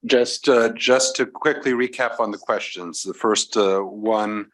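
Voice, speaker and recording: A man moderating a panel, polite and halting, with many fillers. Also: lowish voice, a bit bright.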